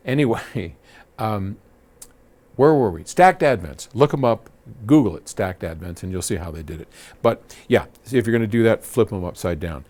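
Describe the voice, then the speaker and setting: Guy with a fairly low voice chatting casually, folksy advice host, kinda rambly. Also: a little mellow. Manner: conversational, folksy, rambling asides. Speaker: host answering audio questions. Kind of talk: casual advice talk to camera.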